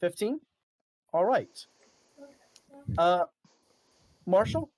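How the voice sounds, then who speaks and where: A game master narrating an improvised tabletop game, sometimes halting to search for words. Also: mid-range voice, big pitch swings, a little mellow, dry recording, poor audio.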